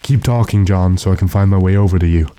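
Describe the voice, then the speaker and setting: A man playing a weary, decisive gentleman master with a dry, commanding manner in an old-time radio drama. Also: low-pitched, quick pace, very noisy, close to an everyday voice. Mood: resolute.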